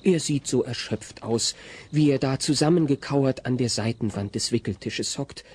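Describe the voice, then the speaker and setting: A man narrating a scripted story in a German audio drama, telling a family comedy vividly in a husky voice. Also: medium pitch, normal speed, darkish tone, close to an everyday voice. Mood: storytelling.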